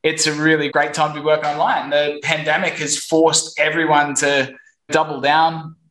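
A man's voice: fairly high pitch, level tone, normal speed, a bit bright, poor audio. A man from Australia with an Australian accent, answering interview questions about his online marketing business in an upbeat, promotional way. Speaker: guest, online marketing entrepreneur.

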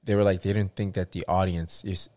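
A man's voice: deep voice, flat delivery, fairly quick, darkish tone, no room sound. A man talking in casual chat as a show host, rambling with many restarts and fillers.

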